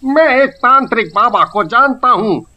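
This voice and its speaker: Fussy cartoon market shopkeeper, high bright voice, slow nervous talk, as a woman plays him. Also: lively intonation. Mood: worried. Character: fussy male market shopkeeper, easily scared.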